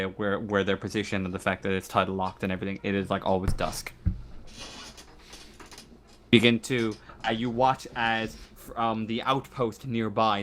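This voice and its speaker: A man running a tabletop game, improvising scene narration in a halting way and repeating phrases as he searches for words. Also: on the low side, fairly bright tone, a little hiss or hum. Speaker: game master.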